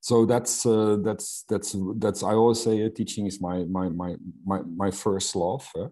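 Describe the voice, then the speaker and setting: A man's voice: lowish voice, little pitch movement, a little slow, warm tone. A middle-aged man from Belgium whose first language is Dutch, presenting in an online webinar with frequent fillers and restarts. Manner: hesitant, many fillers and restarts. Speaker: Salesforce instructor.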